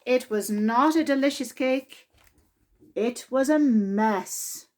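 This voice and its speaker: High-voiced woman, slow storytime reader. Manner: expressive, deliberate, drawn-out emphasis on key words. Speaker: librarian reading a children's storybook aloud. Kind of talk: children's storytime narration.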